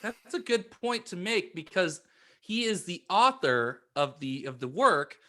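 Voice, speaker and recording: A man's voice: sits high, very animated, measured pace, sharp tone. A man co-hosting a podcast in casual conversation. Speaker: podcast host. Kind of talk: casual chat.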